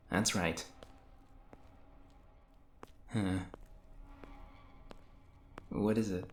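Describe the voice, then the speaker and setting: A man's voice: low voice, echoey room, a little hiss or hum. A man playing an exasperated, sarcastic young hero who banters and teases his frenemy in an audio roleplay. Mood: teasing, conversational. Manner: ranting, sarcastic, teasing. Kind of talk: scripted audio roleplay acting addressed to the listener.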